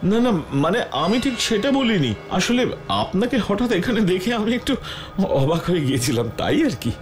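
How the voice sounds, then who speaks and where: A man voicing a curious, eager, polite young nephew in a Bengali cartoon, with an expressive, dramatic delivery. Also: sits high, moderate pace, faint music behind. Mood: hesitant. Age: young adult.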